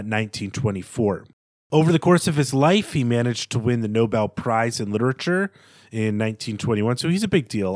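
A man co-hosting a literary podcast, talking through analysis in a measured, scholarly way with halting phrasing. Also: mid-range voice, dry recording, clean studio sound. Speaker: podcast co-host.

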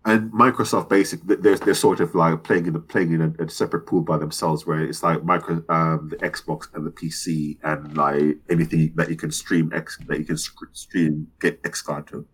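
Deep husky man rambling about games. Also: little pitch movement, moderate pace, few pauses. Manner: rambling, self-correcting, analytical. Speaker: podcast co-host. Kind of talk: casual gaming chat.

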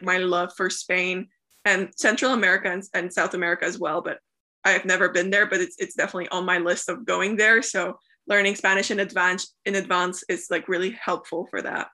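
A woman's voice: mid-range voice, pushed and bright. A woman in her twenties from the Flemish part of Belgium, a native Dutch speaker, chatting easily in an interview about her travels and peppering her answers with "like". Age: 20s. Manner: chatty, frequent "like" fillers. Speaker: guest, travel content creator.

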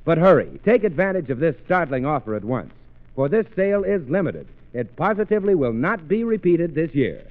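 A man's voice: fairly high pitch, sing-song, a little fast. An American man working as announcer and straight man on a 1930s radio programme. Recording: tinny narrow old radio broadcast.

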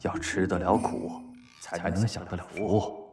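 An actor playing a calm, patient, middle-aged uncle and company boss in an audio drama, advising in a measured way. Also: male voice, sits low, loud music behind the voice. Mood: patient, firm. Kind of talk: modern audio drama acting.

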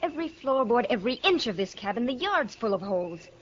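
An actress playing a wary, weary frontier widow in a 1950s radio western, blunt and defiant. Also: female voice, on the high side, very animated, fast talker. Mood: angry, defiant. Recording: dull, noisy old radio broadcast.

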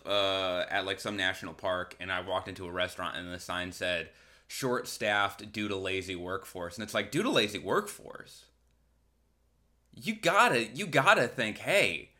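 A man's voice: sits low, sharp tone. A man hosting a podcast solo, ranting casually and comedically with sarcastic, profane, colloquial talk and acted-out imagined quotes. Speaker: podcast host.

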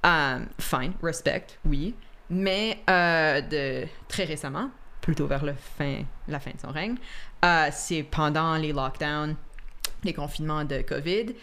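Une femme qui coanime une discussion décontractée en direct, qui rit souvent et mélange des mots anglais à son français. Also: female voice, low voice, slow, fairly bright tone. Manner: laughs often, mixes English words into French. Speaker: co-host. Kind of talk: casual chat on a live stream.

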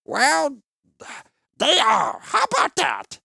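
A man voicing a cocky little male goblin in an audiobook, with a shrill, creaky voice and drawn-out delivery. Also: huge pitch swings, bright, forceful tone, dry recording, studio quality, heavily acted. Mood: smug.

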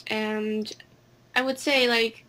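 Casting: female interview guest, Finnish accent, higher-pitched voice, unhurried pace, casual and a little hesitant with a giggly streak. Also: level tone, fairly bright tone. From Finland. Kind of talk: casual conversational answers.

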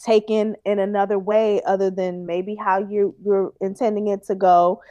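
A woman's voice: medium pitch, little pitch movement, moderate pace, mellow, no room sound, dull audio. A woman in casual chat, thinking aloud carefully, with frequent ums and likes as she weighs her words. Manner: thoughtful, hedging with ums and likes.

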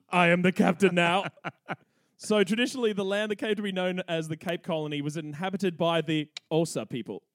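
A man's voice: high voice, steady tone, normal speed, no room sound, studio quality. A young man hosting a podcast, telling history in a cheeky, irreverent way and laughing often. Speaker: podcast host. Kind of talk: comedic history storytelling.

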